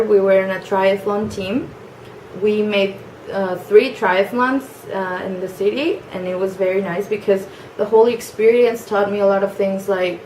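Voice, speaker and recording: A nineteen-year-old woman from Mexico with a Mexican accent, a native Spanish speaker, introducing herself in English at home in a casual video, speaking softly and hesitantly with many fillers. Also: medium pitch, reverberant, a little hiss or hum, rough recording. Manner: soft-spoken, hesitant with frequent fillers. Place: family home.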